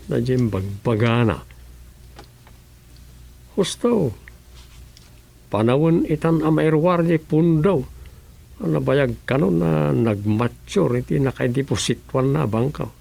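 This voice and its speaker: A man's voice: fairly high pitch, animated, slow pace, vocal fry, long pauses, darkish tone, heavily acted. A male actor with an Ilocano Filipino accent playing a chatty, nosy local councilor in a comic radio drama. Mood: chatty, bantering.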